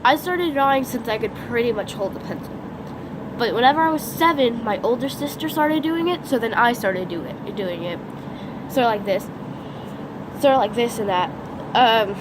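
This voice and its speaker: A teenage girl with a stuffy nose and sore throat, rambling unscripted in a casual vlog, filled with "like", filmed outdoors on a phone. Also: mid-range voice. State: congested, sniffly, sore throat. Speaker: vlogger. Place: outdoors next to a lake, during a lightning storm. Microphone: phone camera. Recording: outdoor phone recording with background noise. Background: outdoor background noise, thunder.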